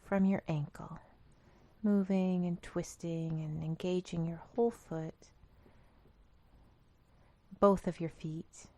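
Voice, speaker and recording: A woman leading a guided meditation in a calm, gentle, instructional manner. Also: fairly low pitch, slow, long pauses, no echo. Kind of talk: guided body scan meditation.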